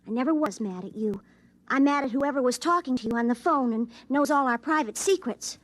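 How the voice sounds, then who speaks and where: Indignant young american sweetheart, plaintive, really high pitched, old radio drama, no room echo. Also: female voice, brisk. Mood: huffy. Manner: plaintive, huffy. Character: indignant, tearful young small-town sweetheart. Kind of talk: 1950s radio acting.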